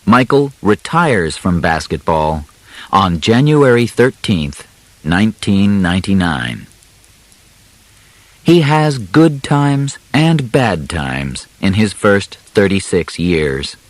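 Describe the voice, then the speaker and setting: Man, scripted audiobook narration, unhurried, dry close sound, short phrases with clear pauses. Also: low-pitched, very animated, dry recording, rough recording. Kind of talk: scripted narration of a simplified biography for learners.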